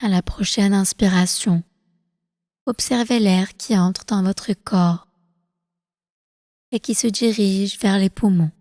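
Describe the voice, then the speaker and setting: A woman leading a guided relaxation meditation as instructor, calm and soothing, with slow, deliberate phrasing. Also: medium pitch, no echo, clean studio sound, big-room sound. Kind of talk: guided prenatal meditation, yoga nidra.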